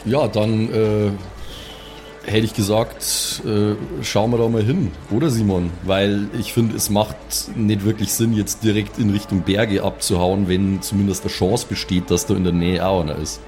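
A man playing a tabletop role-playing game, improvising in casual, halting, informal chat. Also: fairly low pitch, fairly even intonation, darkish tone, soft background music.

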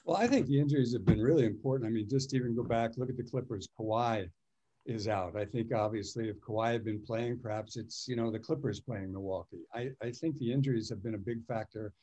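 A man guest on a sports podcast, answering in a measured way over a remote connection. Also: mid-range voice, mellow. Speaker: guest, former coach. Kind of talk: interview answers.

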